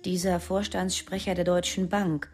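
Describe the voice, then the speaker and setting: A woman's voice: fairly low pitch, level tone, normal speed, faint music behind, close to an everyday voice. An actress in a German radio drama playing a composed, coolly defiant woman who speaks in terse, pointed, probing phrases.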